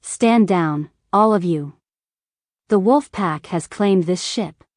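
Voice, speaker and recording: A woman's voice: on the low side, slow, darkish tone, no echo, clean studio sound. A woman playing a fierce female pirate captain and werewolf in an audio drama, commanding and menacing. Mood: triumphant, commanding.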